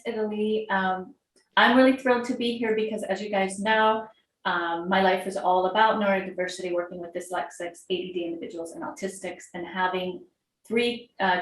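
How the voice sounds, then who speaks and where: A woman introducing herself warmly on a video call, measured and plainly thrilled. She sounds distant from her mic in a roomy space. Her voice sits at an ordinary pitch. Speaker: guest participant.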